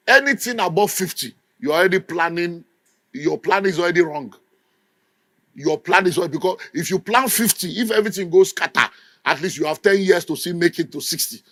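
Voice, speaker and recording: A man's voice: sits high, pushed and bright, dry recording. A middle-aged Nigerian man preaching a sermon with an emphatic, scolding delivery. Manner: emphatic, scolding, mimics people in quotes. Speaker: pastor.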